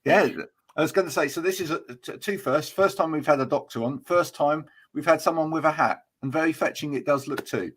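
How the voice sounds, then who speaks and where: A man's voice: fairly high pitch, fairly quick, a bit bright, breathy edge. A man hosts a casual interview over a video call. His talk is chatty and friendly, and he often stops to restart his sentences. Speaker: host.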